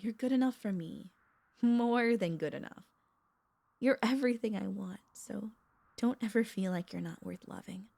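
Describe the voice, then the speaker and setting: A woman playing a possessive, doting royal vampire mistress in an intimate ASMR audio roleplay, speaking in a tender, teasing, halting way with a creaky voice. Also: mid-range voice, darkish tone. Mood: affectionate, scolding. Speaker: voice actor and vtuber.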